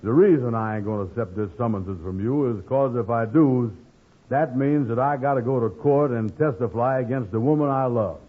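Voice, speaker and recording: A man acting in 1940s radio comedy, playing a gullible, blustering but soft-hearted fellow who hems and mangles his grammar. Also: mid-range voice, close to an everyday voice. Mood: stubborn. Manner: slow-witted, hemming, mangling grammar. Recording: tinny, band-limited old radio recording.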